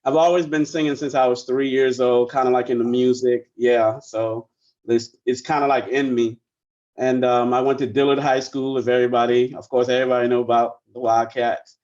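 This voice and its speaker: A man in his forties who grew up in the Bahamas, speaking as a guest musician in a relaxed, meandering way. His voice sits at an ordinary middle pitch. The sound is dull and lo-fi, as if slightly muffled. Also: moderate pace. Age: 40s. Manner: relaxed, rambling with many fillers. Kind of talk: casual interview chat.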